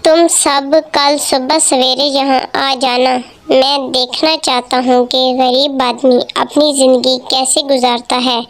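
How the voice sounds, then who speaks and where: A performer voicing kindly, polite characters in a moral storybook tale, speaking in a measured, formal way. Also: sits high, little pitch movement, fairly bright tone, full character voice. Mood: resolute. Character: kind, generous king and humble poor woodcutter. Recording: music behind the voice.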